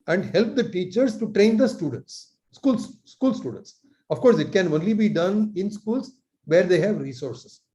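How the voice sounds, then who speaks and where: A man from India giving an earnest online talk as lecturer, pausing often with fillers. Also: a little high, warm tone. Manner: earnest, many filler pauses.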